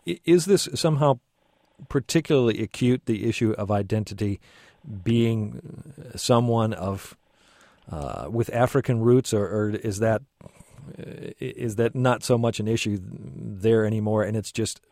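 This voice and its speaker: Man, radio host, mellow lowish voice, dry studio, hesitant, lots of ums. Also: measured pace, no room sound. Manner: hesitant, many ums and restarts. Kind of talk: radio interview.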